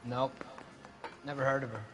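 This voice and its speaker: An actor playing a defiant small-time car thief in a 1940s crime video game, curt and evasive. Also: male voice, mid-range voice, flat delivery, moderate pace, highs cut off, music in the background, close to an everyday voice. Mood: subdued, evasive.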